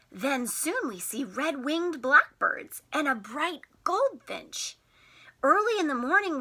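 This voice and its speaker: A woman teacher reading a picture book to young children in an expressive, sing-song way, putting questions to them. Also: sits high. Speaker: teacher and storytime host.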